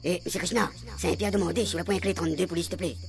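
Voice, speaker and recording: Gravelly, rattling Creole spirit. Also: male voice, sits high, rapid-fire, recorded from a distance. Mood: relaying. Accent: Réunion Creole. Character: chatty obliging spirit acting as go-between for a medium. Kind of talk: comic radio serial acting. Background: music under the voice.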